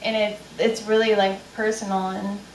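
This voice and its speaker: A woman's voice: mid-range voice, level tone, normal speed. A young woman from the Philippines sharing her story in a casual group discussion, talking with lots of fillers. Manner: chatty, many fillers. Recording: roomy, distant mic, noisy.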